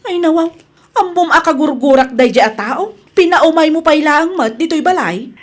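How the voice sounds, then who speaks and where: A woman playing a haughty, sharp-tongued wife hostile to her in-law in an Ilocano-accented radio drama. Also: high-pitched, animated, normal speed. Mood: annoyed.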